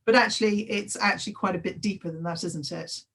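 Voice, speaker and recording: A woman interviewing an author at a literary festival, asking questions politely and in a measured way. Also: medium pitch, fairly even intonation, a bit bright.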